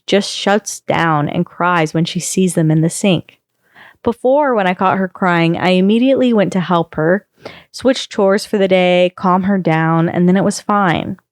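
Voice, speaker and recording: A woman reading a long first-person post aloud on a podcast, in a steady storytelling manner. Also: on the low side, measured pace, dry recording, clean studio sound.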